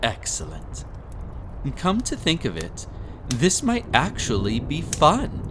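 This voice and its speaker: A man playing a possessive, wicked prince in a hypnosis roleplay audio, speaking in a deliberate, coaxing, commanding and pompous way. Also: medium pitch, background noise. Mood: smug, possessive. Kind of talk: scripted roleplay audio, slow hypnotic monologue.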